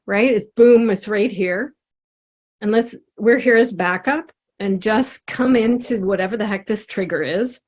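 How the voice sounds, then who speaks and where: Gentle woman guiding self-inquiry. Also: mid-range voice. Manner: gentle, encouraging, drawing while talking. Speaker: satsang facilitator and coach. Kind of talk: guided self-inquiry session over video call.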